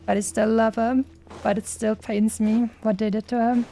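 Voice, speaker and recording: Female game streamer, casual and rambling. Dark, relaxed timbre, little pitch movement, playful phrasing, faint music behind. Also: normal speed. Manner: rambling, playful cutesy wording. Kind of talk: casual livestream chat over gameplay. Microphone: streaming microphone.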